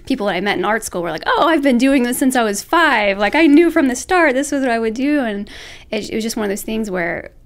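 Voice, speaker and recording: A woman's voice: a little high, expressive, fast. Earnest, reflective young woman. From Alabama. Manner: earnest, reflective, self-correcting. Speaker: guest artist. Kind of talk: casual interview chat.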